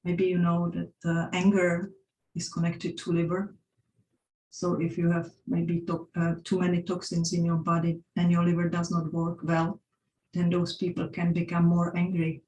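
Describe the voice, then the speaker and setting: A woman's voice: fairly low pitch, completely flat delivery, normal speed, warm tone, roomy sound, a little hiss or hum, rough recording. A woman with a Czech accent presenting a workshop talk with slides, stopping often to restart her sentences. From Czech Republic. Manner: hesitant, frequent restarts and fillers.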